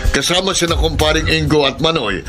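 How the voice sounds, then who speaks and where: A man's voice: on the high side, a little fast, close to an everyday voice. A man playing an agitated village neighbor, speaking urgently in a Filipino radio drama. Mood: alarmed, urgent. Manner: urgent, emphatic. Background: music.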